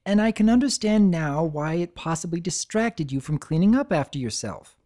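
A woman's voice: lowish voice, animated, normal speed, warm tone, voice pushed up, lightly acted. A woman voicing a stern young female pony who lectures indignantly. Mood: lecturing.

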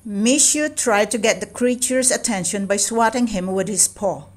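A woman narrating a children's storybook as an expressive read-aloud, stressing key words with deliberate drama. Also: medium pitch.

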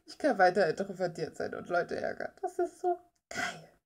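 A woman's voice: mid-range voice, very animated, normal speed. A young adult woman rambling casually in an informal, playful, slangy way, like someone showing her hobby on a vlog. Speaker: hobby artist and video maker.

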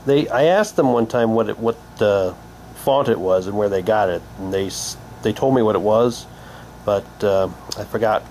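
A man giving a halting, unhurried, casual commentary as he shows and reads out items for an unboxing video. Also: fairly low pitch. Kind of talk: casual unboxing commentary, reading packaging aloud. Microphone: handheld camera's built-in mic. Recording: noisy home camcorder, steady hum.

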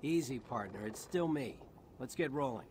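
An actor playing a wisecracking human soldier in an alien warrior body, with a dry, sarcastic manner, in a video game. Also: male voice, medium pitch, lively intonation, normal speed, noisy background, close to an everyday voice. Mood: casual, resolute. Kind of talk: video game dialogue acting.

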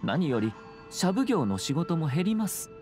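An actor playing a polite young clan head in an anime game, speaking in a measured, formal way. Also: male voice, fairly high pitch, big pitch swings, darkish tone. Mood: calm, assured. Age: young adult. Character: composed, courteous young noble clan head. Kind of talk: Japanese anime game voice acting. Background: music.

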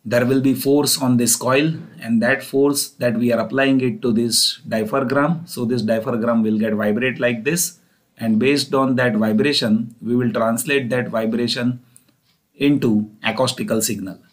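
A man's voice: mid-range voice, steady tone, measured pace. A man with a mid-range voice delivers an explanatory engineering lecture. He works through the material one step at a time, restating points as he goes.

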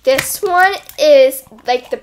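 A young teen girl with a high voice, chatting casually like a vlogger. She is expressive and gushing. The room recording has clear background noise. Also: slow pace. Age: young teenager. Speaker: slime review vlogger. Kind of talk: casual excited chat. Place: carpeted bedroom, indoors.

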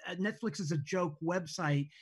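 A man making casual, off-the-cuff remarks on a video call in a hesitant, halting manner. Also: sits high, slightly rough. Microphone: computer video call.

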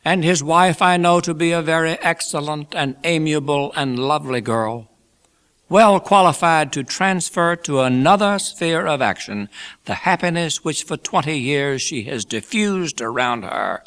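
A man voicing a genial, effusive old gentleman from a classic storybook, making toasts in an emphatic, oratorical manner. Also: on the high side, lively intonation, measured pace, smooth, voice pushed up. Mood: heartfelt, celebratory. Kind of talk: dramatised classic novel reading.

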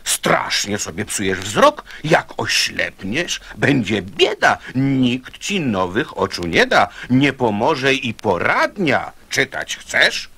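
A man voicing a stern woodpecker vet with a raspy voice, admonishing in children's radio verse. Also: medium pitch, big pitch swings, slow pace, hardly any pauses, pushed and bright, full character voice. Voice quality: gravelly, raspy. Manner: admonishing, emphatic.

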